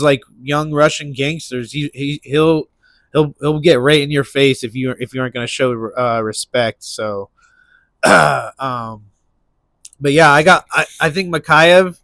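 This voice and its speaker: A man's voice: medium pitch, fairly bright tone, no echo. A man with a stuffy nose hosting casual solo sports talk, rambling with lots of restarts and fillers. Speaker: MMA commentary channel host.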